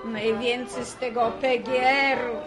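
Sharp-tongued village gossip for radio drama: high voice, over music. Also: female voice, level tone, slow pace. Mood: needling. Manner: needling, gossipy.

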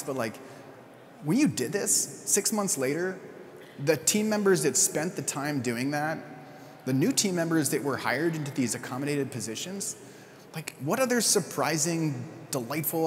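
A man giving a conversational conference keynote, with fillers and quick self-corrections. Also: fairly high pitch, big-room sound, slight background noise. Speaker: keynote speaker.

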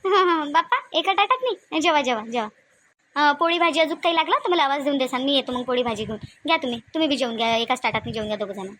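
A woman's voice: high-pitched, lively intonation, quick pace, a bit bright. A woman voicing village women of a family in a homemade cartoon, talking colloquially and expressively with a Vidarbha Marathi accent. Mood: caring, chatty. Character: women of a village family: shy bride, chatty sisters-in-law, scolding aunt.

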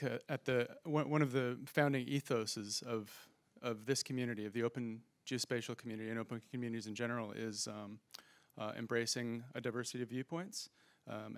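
A male panelist speaks in a thoughtful, hedging way, stopping often to find his words, in a mid-range voice. The handheld microphone keeps him dry and close, with no room echo. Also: medium pitch. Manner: thoughtful, hedging, frequent fillers and restarts. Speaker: panelist and conference organizer. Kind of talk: conference panel discussion. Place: conference room stage.

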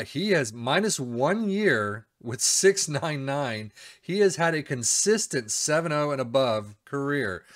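A man's voice: medium pitch, a bit bright. A middle-aged man casually commenting on a football management game save, reading out numbers with chatty asides, recorded at a desk in a small room. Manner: chatty, reads stats aloud with asides. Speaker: video game YouTuber.

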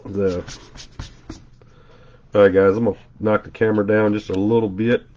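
A man's voice: lowish voice, flat delivery, normal speed, highs cut off. A congested man doing casual live commentary as he opens trading card packs, rattling off player names with chatty asides. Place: indoor room at a table.

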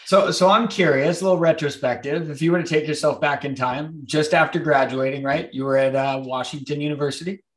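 A man's voice: a little high, fairly even intonation, fairly quick, hardly any pauses. A middle-aged man hosting a podcast interview over video call, upbeat and encouraging. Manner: encouraging, upbeat, frequent affirmations. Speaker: podcast host. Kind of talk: interview host. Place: home office, small room.

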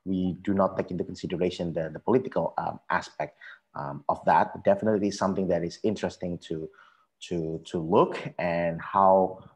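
Indonesian guy, low creaky voice, polite academic answer, lots of halting and repeating, on a headset. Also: steady tone, a little mellow. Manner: hesitant, halting, repetitive, polite. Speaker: academic panelist. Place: small room at home, video call.

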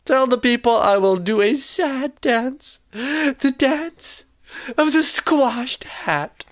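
A woman voicing an excitable, fussy sister from a children's storybook, whiny and insistent in manner. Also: mid-range voice, unhurried, smooth, pitched above the performer's own voice. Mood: sulky, dramatic.

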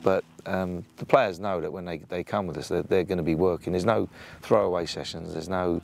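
Older english coach, booming low gravelly voice, lots of ums, outside by the pitch, bit of noise. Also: male voice, steady tone, brisk. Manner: plain-spoken, hesitant with many ums and restarts. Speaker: assistant football coach. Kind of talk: sit-down interview. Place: outdoors beside a football training pitch. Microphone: off-camera mic, no lapel.

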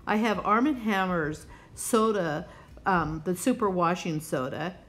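A woman's voice: fairly low pitch, a little slow, fairly bright tone. A woman hosting a preparedness vlog, talking casually and instructively, plainspoken and speaking straight to the viewer. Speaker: host of a prepping channel.

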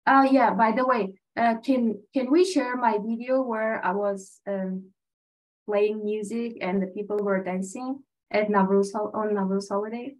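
A woman's voice: mid-range voice, steady tone, measured pace, poor audio. A woman from Tajikistan, sharing her teaching exchange experience in an earnest, conversational way with many fillers over a video call. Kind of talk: online panel presentation with slides.

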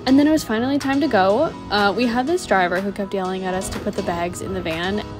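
A woman's voice: mid-range voice, music in the background. Young woman, 20s, casual vlogger, upbeat and chatty, a touch tired, camera at arm's length in a small room. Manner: chatty, upbeat, quick asides and laughs. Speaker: cruise ship dancer vlogger. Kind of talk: casual vlog talking to camera. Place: hotel room, then small ship cabin. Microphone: camera on a tripod or held at arm's length.

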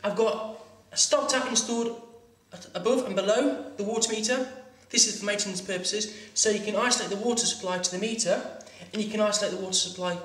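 Guy teaching plumbing in a workshop, highish voice, bit far from the mic, echoey room. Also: a bit bright. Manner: practical, instructive, stumbling restarts. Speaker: plumbing instructor. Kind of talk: hands-on demonstration lesson.

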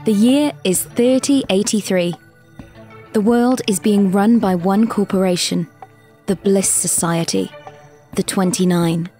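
A woman's voice: mid-range voice, unhurried, mellow, faint music behind. A woman giving scripted narration for an audio drama.

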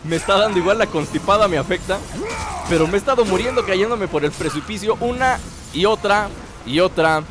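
Young Mexican gamer, stuffy from a cold, high and animated, jokey let's-play host. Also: male voice, a little fast. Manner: playful, jokey, bursts into excited shouts. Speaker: gameplay commentator. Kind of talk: casual let's-play commentary. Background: game music.

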